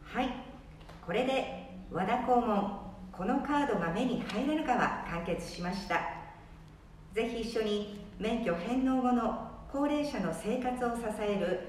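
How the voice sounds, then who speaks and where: A woman's voice: fairly high pitch, expressive, unhurried, a little mellow. A woman hosting a radio show, reading a scripted introduction and sign-off. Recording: very echoey room, distant mic, faint noise.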